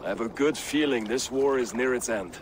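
A man's voice: medium pitch, fairly quick, a little hiss or hum, close to an everyday voice. An actor playing a blunt, grudging Viking crewman in a video game drama. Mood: gruff.

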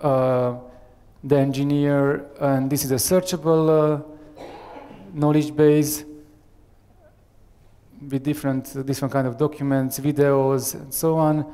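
A man in his thirties with a Romanian accent gives a live software demo on a headset mic, hesitant and full of fillers. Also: medium pitch, level tone, measured pace, long pauses, clear, resonant tone. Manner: hesitant, many fillers and restarts. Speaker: software architect giving a demo. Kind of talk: live tech demo presentation. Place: large conference room with audience. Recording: long reverb of a large hall.

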